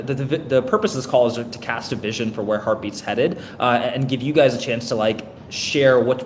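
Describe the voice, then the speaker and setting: A man hosting a live product town hall in a loose, chatty way, getting over a cold. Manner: loose and chatty, many fillers and restarts. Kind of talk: casual webinar presentation. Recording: echoey room with faint rumble.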